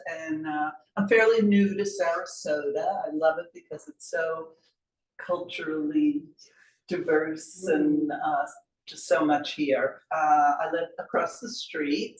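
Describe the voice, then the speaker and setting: Older lady, shy little intro, lowish voice, echoey room. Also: far from the mic. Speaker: art student.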